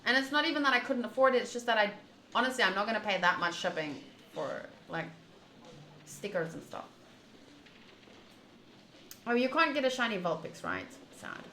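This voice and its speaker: A woman's voice: a little high, animated, moderate pace, long pauses, pushed and bright, echoey room, slight background noise, poor audio. A woman streamer giving casual, chatty playthrough commentary, reading out lines and adding playful asides. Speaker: game streamer.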